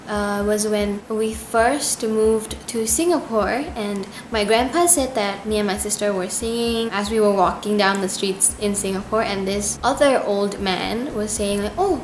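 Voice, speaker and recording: A woman in her early twenties from Indonesia, chatting earnestly and gratefully in a casual question-and-answer vlog. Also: medium pitch. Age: 20s. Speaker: YouTube cover singer. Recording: echoey room with noise.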